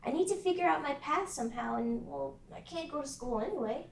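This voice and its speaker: A woman's voice: high voice, fairly quick, creaky, a little hiss or hum. A woman at a table read voicing an eager, earnest teenage girl witch. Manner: earnest, excitable. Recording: roomy sound from a distant mic.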